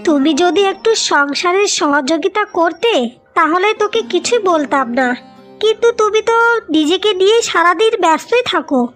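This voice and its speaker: A very high-pitched cartoon housewife acted by a woman, nagging and accusatory. She is a practical, resentful co-wife who scolds over money, with prominent music behind her. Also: normal speed, fairly bright tone. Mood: scolding, resentful. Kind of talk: cartoon voice acting.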